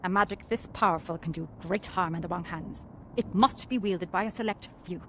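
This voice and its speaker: An actress playing a dignified, authoritative headmistress in a video game, formal and composed. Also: female voice, lowish voice, a little fast, a bit bright, slight background noise, close to an everyday voice. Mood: grave. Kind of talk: scripted video game acting.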